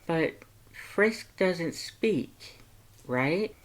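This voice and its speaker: A man's voice: fairly high pitch, expressive, very slow, pitched above the performer's own voice. A man voicing a curious, hesitant, good-humoured young person with a questioning manner, in a storybook reading. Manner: questioning, tentative.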